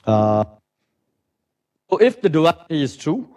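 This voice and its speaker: A middle-aged man with a loud voice giving a physics lecture in a lecture hall, thinking aloud with many fillers and "right?" tags. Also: fairly high pitch, big pitch swings, measured pace. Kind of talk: academic lecture.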